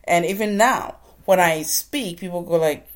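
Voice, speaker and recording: Low-voiced earnest woman giving an inspirational talk. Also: measured pace. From Ghana. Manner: earnest, preacher-like addresses to the audience. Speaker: motivational speaker.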